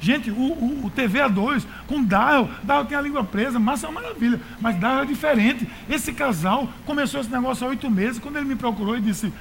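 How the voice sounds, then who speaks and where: A Brazilian man with a high-pitched voice delivers a conversational sermon. He exhorts his listeners in everyday language and repeats phrases to drive them home. Light noise sits behind his voice. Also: fairly quick, vocal fry, hardly any pauses, fairly bright tone. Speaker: pastor.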